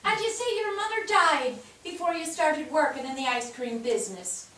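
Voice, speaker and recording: An actress in a staged reading playing a kindly guide who prompts with leading questions. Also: female voice, high voice, animated, moderate pace, pushed and bright. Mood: inquisitive. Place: theatre stage, recorded from a distance. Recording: echoey stage, distant mic, noisy.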